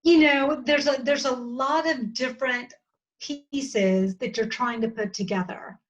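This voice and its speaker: A woman of about fifty with an American accent, talking earnestly and haltingly as a guest in an interview, often restarting her sentences. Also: high-pitched, dull audio. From southern United States. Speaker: podcast guest. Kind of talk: reflective interview conversation.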